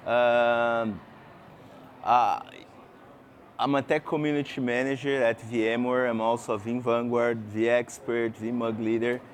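A man's voice: medium pitch, steady tone, slight background noise. A man from Brazil with a Brazilian accent, giving a conference talk. He talks with lots of fillers and restarts and asks the audience questions. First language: Portuguese. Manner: halting, many fillers and restarts. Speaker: conference speaker. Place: conference session room.

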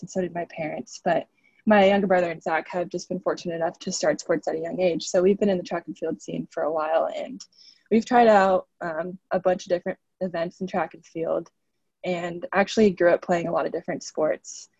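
A woman's voice: fairly low pitch, fairly even intonation, a little fast, darkish tone, slightly rough, no room sound, dull audio. A woman in her twenties from Oregon, a student athlete chatting upbeat as a podcast guest, laughing often. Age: 20s. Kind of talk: podcast interview.

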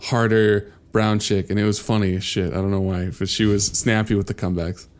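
A man chatting casually as a podcast co-host, telling animated anecdotes with easy swearing. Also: on the low side, fairly quick. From born in Venezuela, left in infancy.

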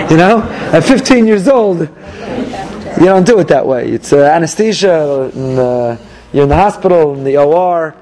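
A man teaching a Torah class as a rabbi, animated, repeating key phrases and putting questions to his listeners. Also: fairly high pitch.

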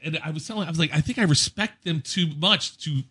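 A man who works as a DJ, chatting casually and telling stories in an animated way, acting out exchanges. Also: a little high, fast talker, slightly rough. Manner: animated storyteller, acts out exchanges. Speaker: event DJ. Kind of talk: casual anecdotal chat.

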